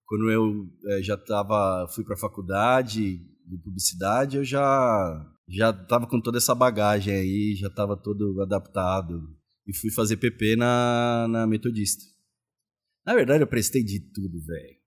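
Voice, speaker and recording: A man's voice: on the low side, measured pace. A Brazilian man in his forties chatting casually as an interview guest, telling his stories in informal, slangy speech. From Salvador, Bahia, Brazil; moved to São Paulo state as a child. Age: 40s. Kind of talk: casual chat.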